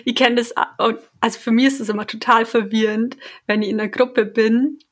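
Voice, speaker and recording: A woman's voice: fairly high pitch, steady tone, fairly quick. A woman with a Bavarian accent in a casual conversation, asking curious follow-up questions. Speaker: interviewer.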